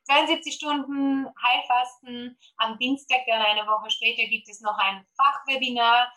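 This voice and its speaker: A woman's voice: fairly high pitch, fairly even intonation, unhurried, bright, forceful tone, rough recording. A woman from Poland with a Polish accent, speaking German as a guest on an interview podcast about gut health, eager and full of fillers. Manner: eager, many fillers, promotional.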